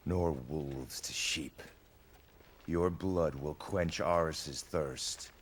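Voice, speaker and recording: An actor playing a proud, wrathful ancient Greek warrior with a gravelly voice in a video game cutscene. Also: male voice, a bass voice, fairly bright tone, slight background noise, heavily acted. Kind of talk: dramatic game cutscene acting.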